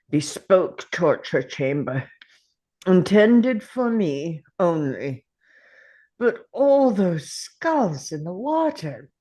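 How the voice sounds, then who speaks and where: An actor playing a dying, grim and weary alien Time Lord in a dramatic script read, with a halting, pained delivery full of sighs. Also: mid-range voice, darkish tone.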